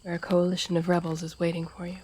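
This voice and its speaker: A woman playing a stern, loyal, guarded masked assassin in a fantasy audio roleplay, speaking gravely and formally, often in a hushed breath. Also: lowish voice, completely flat delivery, fast, lightly acted. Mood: grave, sincere. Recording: noisy outdoor night ambience with crickets. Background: crickets and night insects.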